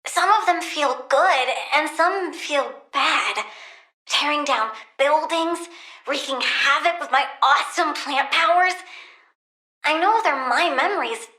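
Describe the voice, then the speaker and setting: An actress with an American accent playing a bratty, curious young female plant-human supervillain in a video game, girlish, expressive, blunt and flippant. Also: high-pitched, takes it slowly, roomy sound, exaggerated voice, low-quality recording. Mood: musing. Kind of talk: animated video game voice acting.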